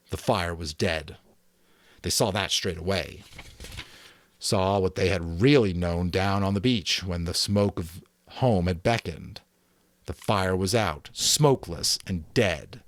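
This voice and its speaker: Deep-voiced man reading a novel aloud. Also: dry recording. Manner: stumbles and rereads lines, stops to redo a take. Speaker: amateur voice actor reading a novel. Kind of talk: dramatic audiobook reading.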